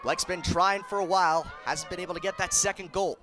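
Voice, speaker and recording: A man's voice: high voice, steady tone, brisk. An American man in his twenties calling live play-by-play for a sports broadcast with a projected announcer delivery. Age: 20s. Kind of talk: live lacrosse television commentary. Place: outdoor stadium broadcast position. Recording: stadium crowd and outdoor noise. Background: crowd and stadium noise.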